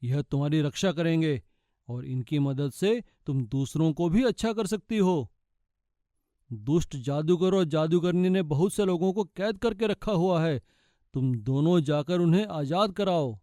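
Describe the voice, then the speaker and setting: A man's voice: on the high side, measured pace, dark tone, no room sound, clean studio sound, lightly acted. A man voicing an authoritative, kindly fairy king and father in a children's cartoon. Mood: kindly, instructing. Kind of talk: children's cartoon story acting.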